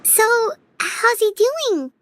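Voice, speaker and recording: Casting: tiny, inquisitive game fairy; extremely high, lively childlike voice. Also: female voice, takes it slowly, exaggerated voice. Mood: puzzled, thoughtful. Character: small chirpy childlike floating fairy companion, curious and inquisitive. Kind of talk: video game voice acting.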